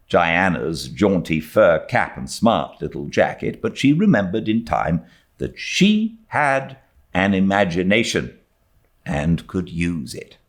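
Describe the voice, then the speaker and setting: A man with a British accent reading a classic novel aloud as an expressive audiobook narrator. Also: sits low. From Britain. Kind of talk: expressive literary story narration.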